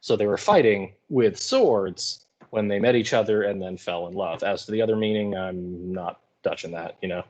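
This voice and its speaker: A man giving an informal lecture as a high school English teacher, explaining in colloquial paraphrase. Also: normal speed, hardly any pauses, darkish tone.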